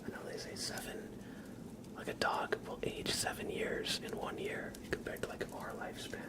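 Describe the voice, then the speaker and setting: A man hosting a casual ASMR livestream, chatting in a breathy, gentle, unhurried whisper and softly repeating phrases. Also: rough recording. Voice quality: breathy whisper with creaky edges. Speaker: live streamer, ASMR host.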